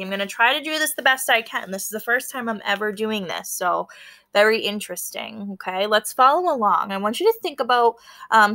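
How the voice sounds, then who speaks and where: A woman teacher reading a book aloud to fourth graders, pausing to explain and prompting them to notice and wonder. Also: medium pitch, pushed and bright. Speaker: elementary school teacher. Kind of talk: read-aloud lesson for fourth graders, explaining as she goes.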